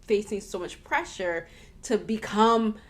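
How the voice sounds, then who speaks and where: A young woman hosts a film interview at a medium pitch. She talks earnestly and thoughtfully, often stopping to rephrase mid-sentence. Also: a little slow. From Barbados. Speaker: podcast host and interviewer. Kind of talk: casual conversational film interview.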